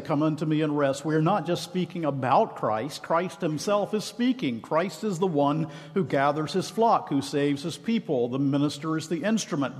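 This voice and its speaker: Earnest preacher man in echoing church. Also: a little high. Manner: earnest preaching with emphatic slow phrases. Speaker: minister. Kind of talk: sermon. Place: church sanctuary. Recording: long reverb of a large hall.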